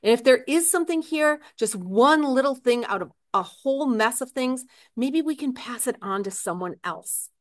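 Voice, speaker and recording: A woman's voice: sits high, lively intonation, normal speed, hardly any pauses, voice pushed up. A woman voicing a desperate, agitated husband in a novel reading, speaking with urgent, earnest pleading.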